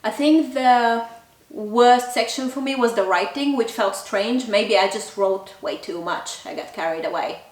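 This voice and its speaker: A woman's voice: a little high, a little slow. Lady in her 30s chatting to camera, echoey room, mic a bit far away. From Bulgaria. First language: Bulgarian. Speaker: language channel host. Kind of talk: casual talk to camera. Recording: roomy echo, distant mic.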